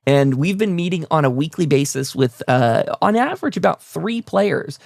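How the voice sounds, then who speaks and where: Chatty male podcast host, gravelly fry. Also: fairly high pitch, expressive, normal speed, a little mellow, no echo, clean studio sound. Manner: chatty, rambling. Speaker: talk show host. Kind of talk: casual podcast outro, plugging websites and upcoming episodes.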